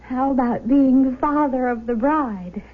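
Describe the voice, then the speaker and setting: An actress playing a breezy, slangy young daughter in a 1970s radio drama. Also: female voice, sits high, measured pace. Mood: excited, breezy. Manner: bubbly, slangy, teasing. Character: breezy, excited young daughter, newly engaged. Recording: thin phone-like old radio broadcast.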